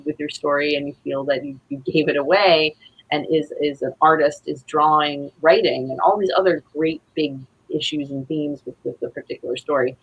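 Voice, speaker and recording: A woman's voice: sits low, breathy edge, dull audio. A woman playwright talking casually as an interview guest, earnest and rambling in manner.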